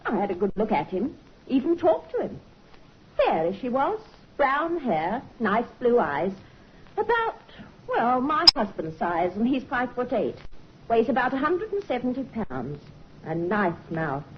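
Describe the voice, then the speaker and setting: An actress playing a chatty, plain-spoken housewife in a 1950s radio drama, talking in a lively, gossiping way. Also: female voice, fairly high pitch, measured pace. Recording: dull vintage radio broadcast with faint hiss.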